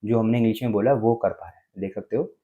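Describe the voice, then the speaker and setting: A man with an Indian accent walks through phone features in a casual, instructional way. His voice sits a little low and has a soft, mellow tone. He talks quickly and keeps checking in with small tag words as he explains each step. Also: steady tone, muffled recording. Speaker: tech tutorial presenter.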